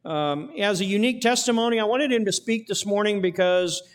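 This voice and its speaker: A man's voice: high voice, brisk. A man pastoring a church, giving announcements and praying, folksy, repeating words with many fillers. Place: church sanctuary.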